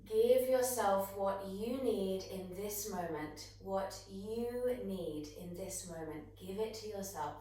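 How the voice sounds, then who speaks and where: A woman guiding breathwork and meditation as a yoga teacher, gentle and soothing, with long unhurried pauses. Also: medium pitch. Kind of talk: guided meditation instruction. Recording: echoey room, distant microphone.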